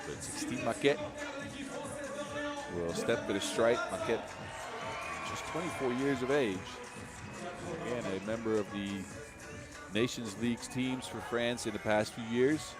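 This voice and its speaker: A man with a Dutch accent giving fast live basketball play-by-play, his energy rising with each play. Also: medium pitch, over a noisy crowd. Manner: energetic, rising with the action, self-mocking asides. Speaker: play-by-play commentator. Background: music.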